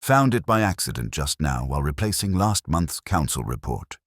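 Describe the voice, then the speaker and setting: Casting: man reading as a nervous, polite female records clerk in an audio mystery, low husky register, factual delivery. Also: sing-song, normal speed, dry recording, studio quality, exaggerated voice. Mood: uneasy. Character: uneasy, dutiful woman archivist reporting to police.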